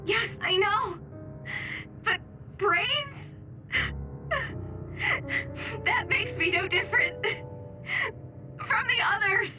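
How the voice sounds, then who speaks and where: An actress playing a fragile, gentle young woman in a video game, despairing and apologetic. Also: very high-pitched, lively intonation, extremely slow, long pauses, music in the background, exaggerated voice. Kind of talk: English video game voice acting.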